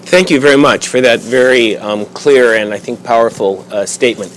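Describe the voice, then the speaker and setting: A man's voice: medium pitch, moderate pace, fairly bright tone, slight background noise, low-quality recording. A man moderating a panel discussion, polite and inviting, with fillers. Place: conference room.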